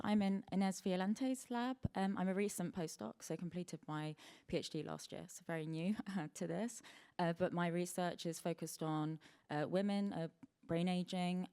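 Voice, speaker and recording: A woman who is a new postdoctoral researcher, introducing herself at a conference in a modest, unhurried way. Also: lowish voice, warm tone, clean tone.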